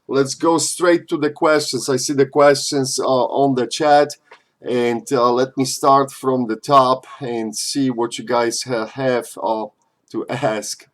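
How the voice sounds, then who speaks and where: A man's voice: medium pitch, steady tone, slow. Man hosting a live stream. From Poland. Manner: loose and chatty, frequent "uh" and "you know", slightly non-native phrasing. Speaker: live-stream host answering viewer questions.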